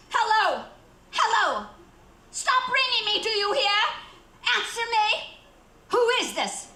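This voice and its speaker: A frantic, easily outraged wife in a radio drama, pitched extremely high and shrill. She is imperious and stammers with melodramatic indignation. The room echoes around her. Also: bright, forceful tone, exaggerated voice. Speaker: actor in radio play. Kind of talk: radio theater acting.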